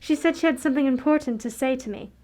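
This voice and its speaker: Woman playing high-voiced distressed young governess. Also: dark tone, natural voice. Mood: nervous, halting. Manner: halting, emotional. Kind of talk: radio drama acting.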